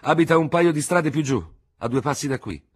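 Brief: man doing a snappy, urgent young officer, husky and loud. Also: a little high, lively intonation, brisk, pitched above the performer's own voice. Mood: curt, urgent. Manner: terse, irritated. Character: curt, rattled young male army lieutenant.

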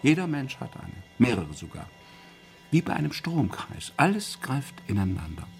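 An actor playing a courteous, self-assured doctor who explains things in a genteel, deliberate way, in a radio crime drama. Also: male voice, mid-range voice, sing-song, creaky, a little mellow, faint music behind. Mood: explaining.

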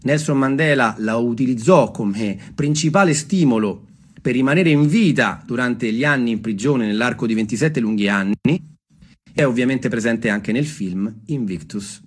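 A man telling stories and reading texts aloud as a theatre performer, expressive and deliberate in his delivery. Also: mid-range voice, slightly rough. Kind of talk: storytelling with dramatic readings. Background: music.

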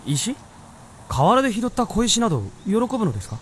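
Earnest, very expressive young-sounding male fox. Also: high voice, brisk, a little hiss or hum. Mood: flustered, surprised. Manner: polite, hesitant, easily flustered. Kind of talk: Japanese radio drama acting.

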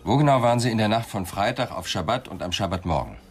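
Man acting a low-voiced, persistent police inspector. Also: lively intonation, fast talker, slight background noise, close to an everyday voice. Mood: insistent, probing. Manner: probing, sceptical, insistent. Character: dry, persistent male police inspector questioning a suspect. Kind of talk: German radio crime drama acting.

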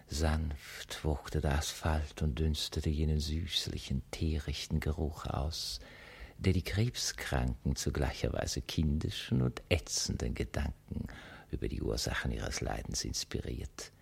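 An actor playing a pompous, grandiose narrator in a literary radio piece, declaiming in florid phrases. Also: male voice, a bass voice, creaky, husky, full character voice.

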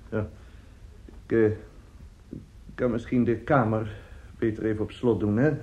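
A man's voice: fairly high pitch, warm tone, slight background noise. An actor in a Dutch radio play as an older, fatherly newspaperman, chatty and self-satisfied, repeating his words. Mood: businesslike. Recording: muffled old radio play recording.

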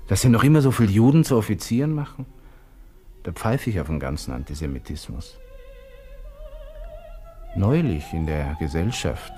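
An actor playing a brash, bored young Viennese army lieutenant, musing snappishly in a radio drama interior monologue. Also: male voice, sits low, darkish tone, heavily acted. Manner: musing, snappish, self-important. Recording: music behind, 1960s radio studio recording.

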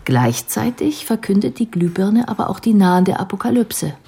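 An actress playing a composed female care professional in a radio drama, explaining a patient's case in a measured, analytical way. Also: medium pitch, big pitch swings, warm tone, husky, full character voice. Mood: calm, reflective.

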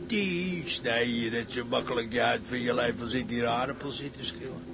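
Ship's cook, male actor: salty man relishing grisly tales, slangy, mid pitch, radio drama, clear ambient noise. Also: moderate pace, close to an everyday voice. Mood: relishing, ominous. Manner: colourful, slangy storytelling. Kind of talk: Dutch radio drama acting. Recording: clear background noise, outdoor ship ambience.

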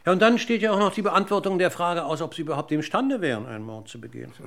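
Sly, gravel-voiced police commissioner. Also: male voice, on the high side, expressive. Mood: probing, needling. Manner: formal, polite, needling, with deliberate hesitations. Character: probing police commissioner, courteous, sly, relentlessly needling. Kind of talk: German radio crime drama acting.

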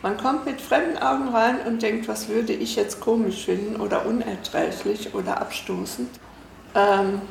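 A woman's voice: mid-range voice, moderate pace, vocal fry, a little hiss or hum, poor audio. A woman talking casually and spontaneously, hesitant and reflective as she describes things. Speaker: hotel project guest.

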